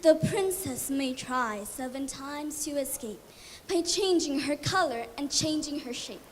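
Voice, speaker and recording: Teenage girl playing a very high-pitched storybook princess. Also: animated, unhurried, long reverb tail, a little hiss or hum. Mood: magical, declaiming. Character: young princess and magical fairy godmother. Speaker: student performer in readers' theatre. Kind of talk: stage readers' theatre acting.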